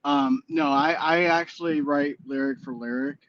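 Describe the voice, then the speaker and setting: A man's voice: fairly high pitch, steady tone, normal speed, a bit bright, muffled recording. A man in his forties, a guest on a casual chat show, talking in a rambling, hesitant way with many fillers and restarts. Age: 40s. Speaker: guest musician.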